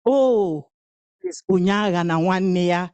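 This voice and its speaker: A woman whose first language is Igbo performs spoken-word poetry in a slow, measured rhythm, letting each phrase land before the next. Her delivery is incantatory, with long pauses between lines. The sound is close and dry. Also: on the low side, expressive, darkish tone, smooth, no room sound. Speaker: speaker at a women's event.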